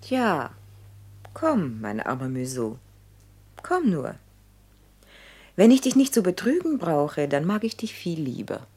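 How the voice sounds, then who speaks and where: Husky, low-voiced teasing mistress. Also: female voice, big pitch swings, slow, long pauses, a little mellow, exaggerated voice. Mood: coaxing, teasing. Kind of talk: German radio play acting.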